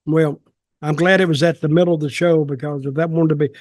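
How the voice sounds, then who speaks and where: A man's voice: on the high side, fairly even intonation, fast, a little mellow, no echo, studio quality. An older man in his seventies chatting casually as a podcast co-host, giving opinions in a blunt, rambling, folksy way. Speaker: podcast co-host and wrestling storyteller. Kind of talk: casual chat, opinionated commentary. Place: small home office, video call.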